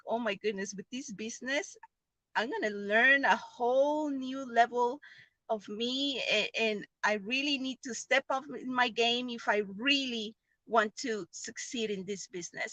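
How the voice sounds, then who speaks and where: A woman speaks English as a second language with a foreign accent. Her voice is fairly high and strikingly bright, recorded close and dry. She tells her story earnestly and informally, halting and repeating phrases as she goes. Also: no echo. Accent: non-native English. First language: not English. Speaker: online business member giving a testimonial. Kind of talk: informal personal testimonial.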